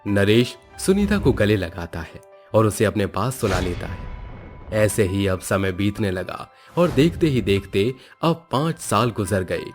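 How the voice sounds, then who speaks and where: A man's voice: on the low side, sing-song, measured pace. A man reading a scripted moral story as its narrator. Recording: background music under the voice. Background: music.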